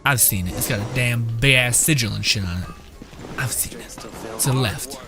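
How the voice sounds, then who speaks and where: A man with a mid-pitched voice commenting casually on a game as he streams. He is informal and chuckly, with game music clearly behind him. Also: moderate pace.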